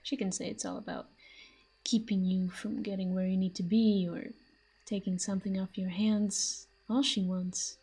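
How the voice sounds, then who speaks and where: A woman's voice: medium pitch, flat delivery, normal speed, creaky, dark tone, husky. A woman playing a possessive, flirtatious fantasy villain in a roleplay audio drama, teasing and smug, speaking intimately to the listener. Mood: jealous, flirtatious.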